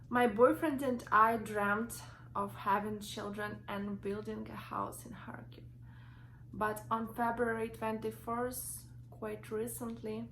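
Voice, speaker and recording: A young woman with a Ukrainian accent reads her own story from notes on her phone. She talks in a halting way, with fillers and restarts, at a medium pitch. A faint hiss of noise sits behind her voice. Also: a bit bright, poor audio. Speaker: vlogger. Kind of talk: reading a personal story from notes.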